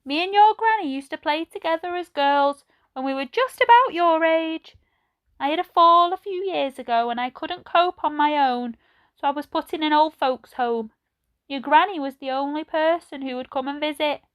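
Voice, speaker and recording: A woman voicing a kindly, frail old lady in a children's storybook, speaking gently and fondly in a slow, chatty way. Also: sits high, voice pushed up, close to an everyday voice. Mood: gentle, reminiscing. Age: older.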